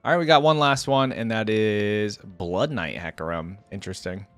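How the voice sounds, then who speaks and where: A man's voice: lowish voice, moderate pace, fairly bright tone, faint music behind. A man giving casual, informal reaction commentary as a video host, thinking aloud and at times reading out.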